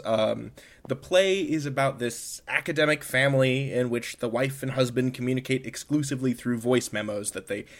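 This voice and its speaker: Male interviewer for an arts podcast. Mid-range pitch, conversational delivery.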